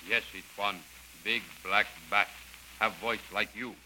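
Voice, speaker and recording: Casting: loyal native guide, lowish forceful voice, halting broken English, deferential, vintage radio serial with faint noise. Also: male voice, steady tone, low-quality recording. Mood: earnest, mysterious. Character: Kolu, loyal native servant and guide, man. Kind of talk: 1930s radio serial acting.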